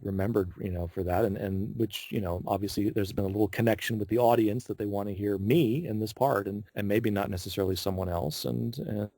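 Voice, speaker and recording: A man talking casually as a voice actor guest on an interview show, chatty and telling stories in an animated way. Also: lowish voice, fairly quick, creaky, few pauses, no room sound.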